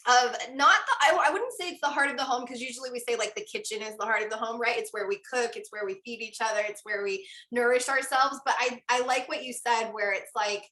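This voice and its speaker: A woman's voice: on the high side, quick pace, hardly any pauses, pushed and bright. A woman hosting a podcast interview, chatting in a gushing, filler-heavy way. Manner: effusive, filler-heavy, lots of "like". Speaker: podcast host. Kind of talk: casual interview chat. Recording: echoey room sound.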